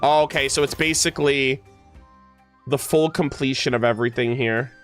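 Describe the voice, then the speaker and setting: A man streaming a video game, giving casual, playful and expressive commentary in gamer slang. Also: mid-range voice, normal speed, faint music behind. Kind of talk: casual gaming livestream commentary, reading dialogue aloud.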